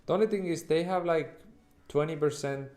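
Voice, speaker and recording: Halting Estonian-accented man, livestream host. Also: fairly high pitch, a little mellow. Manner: halting, rambling, informal, occasional swearing. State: sleep-deprived. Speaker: livestream host, futures trader. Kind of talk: casual livestream chat with viewers.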